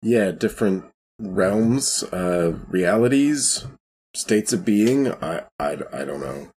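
A man playing a big, self-doubting man in a tabletop game, speaking hesitantly with a husky voice. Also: low-pitched, expressive, darkish tone, exaggerated voice. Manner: hesitant, halting. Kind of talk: improvised tabletop role-play.

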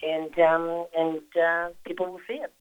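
A woman's voice: on the low side, level tone, unhurried, clear, resonant tone, dry recording, phone-quality sound. An older woman, an actress, talking in a phone-in radio interview, chatty and rambling with lots of fillers and restarts. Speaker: actress guest. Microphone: phone call.

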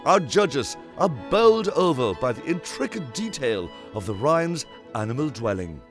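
An actor playing a grand TV property-show narrator, reading a scripted voice-over in a comedy radio play. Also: male voice, mid-range voice, very animated, measured pace. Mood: grand, admiring. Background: music.

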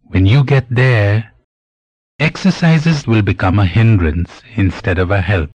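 A man with a fairly low voice leads a guided meditation. He speaks very slowly and deliberately, leaving pauses between phrases as he gives instruction. The recording is close and dry. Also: darkish tone, breathy edge, dry recording. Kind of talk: guided meditation, scripted spiritual narration.

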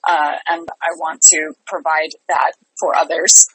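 A woman's voice: low-pitched, flat delivery, a little slow, a bit bright, husky, no room sound, rough recording. A middle-aged woman answering interview questions conversationally, speaking earnestly and repeating phrases for emphasis. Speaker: interview guest, life and business coach.

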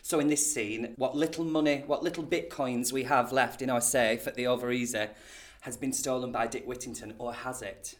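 Encouraging man coaching, mid-pitched voice. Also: steady tone, moderate pace, few pauses. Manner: encouraging, playful, instructive. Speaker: pantomime dame performer, acting coach. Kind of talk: casual coaching chat.

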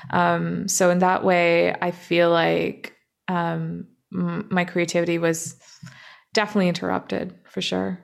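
Low, gravelly-voiced young woman guest. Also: flat delivery. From grew up in Canada. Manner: thoughtful, halting, many fillers and restarts. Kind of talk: reflective interview talk.

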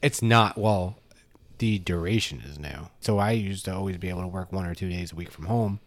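A man's voice: sits low, brisk. A man co-hosting a podcast in casual chat, talking in a matter-of-fact way and thinking aloud. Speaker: podcast co-host.

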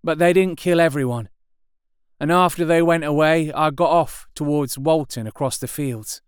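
A man voicing a hoarse, wary, filthy soldier who speaks urgently and guardedly. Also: a little high, moderate pace, dry recording, studio quality, voice pushed up, close to an everyday voice.

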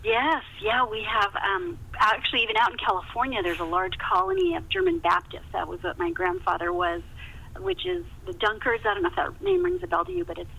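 Animated, high-voiced older American woman, muffled line. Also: a little fast, creaky. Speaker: author being interviewed. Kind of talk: conversational podcast interview.

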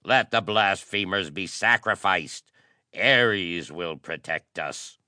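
A man voicing fierce, fanatical warriors shouting threats in an adventure story. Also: low voice, slow, dry recording, clean studio sound, voice pushed up, exaggerated voice. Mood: threatening. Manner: shouting, declamatory.

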